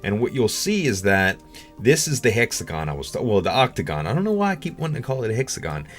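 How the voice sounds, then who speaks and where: A man's voice: fairly low pitch, very animated, fast, faint music behind. A man giving casual gaming commentary as a video host, rambling and thinking aloud.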